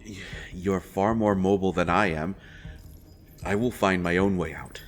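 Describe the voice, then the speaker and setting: Man acting low-voiced, earnest royal interrogator. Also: moderate pace, soft background music, close to an everyday voice. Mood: urgent, protective. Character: earnest, protective royal interrogator turned secret rescuer of prisoner. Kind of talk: dramatic fantasy audio roleplay addressed to the listener.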